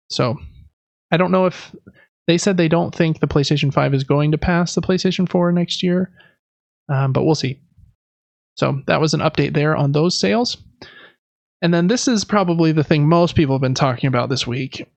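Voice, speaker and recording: A man's voice: fairly high pitch, vocal fry, no room sound, highs cut off. A man hosting a podcast, giving casual, conversational news commentary with informal, slangy asides. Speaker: podcast host.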